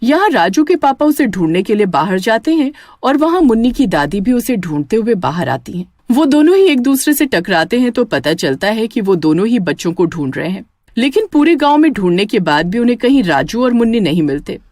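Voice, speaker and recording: A woman's voice: a little high, very animated, brisk, a little mellow, no echo, studio quality. A woman narrating a scripted children's horror story as a storyteller.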